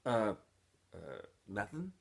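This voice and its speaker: A man's voice: lowish voice, huge pitch swings, slow, creaky, heavily acted. A man voicing an earnest, weary teenage camp newcomer in a storybook, hesitant and placating. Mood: weary, defensive.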